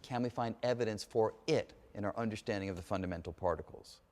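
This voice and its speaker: A man giving an explanatory science lecture in halting, emphatic phrases, as a lecturer would. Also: fairly low pitch, a little mellow. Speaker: physics lecturer. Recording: echoey hall reverb.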